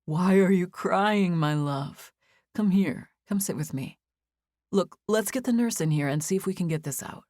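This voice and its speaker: Woman voicing a gentle young man, soft and affectionate, low-ish voice, dry close sound. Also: moderate pace, dry recording, lightly acted. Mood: tender. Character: wounded young man, tender fiancé.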